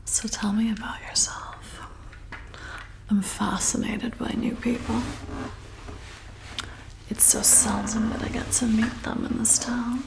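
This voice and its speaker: Casting: woman to play flirty female vampire bartender for ASMR roleplay; breathy whisper thick with fry, unhurried, seductive and welcoming. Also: fairly high pitch, little pitch movement, rough recording. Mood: flirty, intimate. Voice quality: breathy whisper with creaky edges. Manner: slow, intimate, coaxing. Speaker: ASMR performer.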